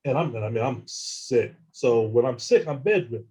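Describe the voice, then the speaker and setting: A man telling his own experiences as an interview guest, halting and often repeating words as he restarts sentences. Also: medium pitch, expressive, dark tone. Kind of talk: casual first-person account.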